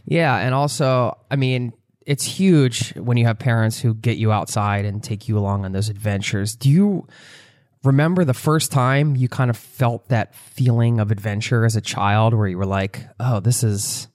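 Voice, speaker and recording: A man's voice: mid-range voice, steady tone, normal speed, darkish tone, no echo, clean studio sound. A man hosting a podcast, asking friendly, rambling questions and chuckling often. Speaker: podcast host. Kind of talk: casual interview talk.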